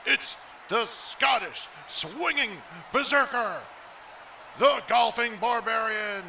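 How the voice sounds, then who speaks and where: A man's voice: extremely high pitch, slow, pushed and bright, exaggerated voice. A man playing a showy wrestling ring announcer, grandiose, drawing out his phrases in a noisy cartoon arena. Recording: noisy, with background ambience.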